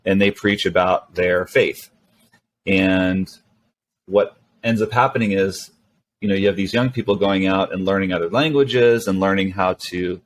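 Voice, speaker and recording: A man's voice: low voice, slight background noise. A middle-aged man, a startup co-founder and CEO whose first language is Spanish, talking as a guest in a casual interview with plenty of fillers and restarts. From Venezuela, raised mostly in California. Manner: relaxed, rambling with many fillers and restarts.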